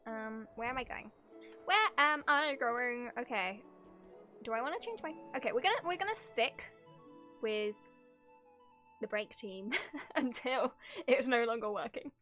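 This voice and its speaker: Bright, giggly woman livestreamer. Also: fairly high pitch, animated, unhurried, long pauses. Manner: giggly, playful, easily sidetracked. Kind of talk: casual game reaction stream, chatting and reading story text aloud. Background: game music.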